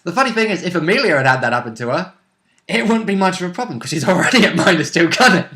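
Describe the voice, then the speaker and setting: A man chats casually as a tabletop roleplay player. His voice sits a little high and sounds bright and sharp. He is blunt and jokey, with some swearing. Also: animated, fast. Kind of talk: casual chat.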